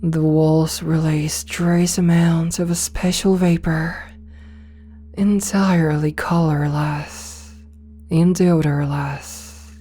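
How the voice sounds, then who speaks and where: A woman playing a possessive, domineering rich CEO in an ASMR roleplay, speaking softly and coaxingly with condescending sweetness, slipping into whispers. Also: deep voice, steady tone, heavily acted. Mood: hypnotic, coaxing. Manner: slow, soft, breathy coaxing, slipping into whispers.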